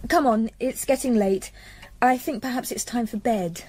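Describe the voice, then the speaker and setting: An actress playing a playful, coaxing, well-bred young English stepdaughter in a radio drama, eager and well-spoken. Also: female voice, a little high, moderate pace, a little mellow, slight background noise, lightly acted. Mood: subdued. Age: young adult.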